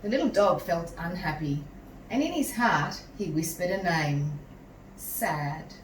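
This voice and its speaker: A woman reads a children's story aloud like a teacher, slowly and with lots of expressive swing. She speaks to young listeners in a measured, animated manner. The recording is roomy, picked up at a distance from the microphone. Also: on the low side. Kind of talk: expressive picture-book read-aloud with asides to young listeners. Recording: roomy echo, distant mic, some noise.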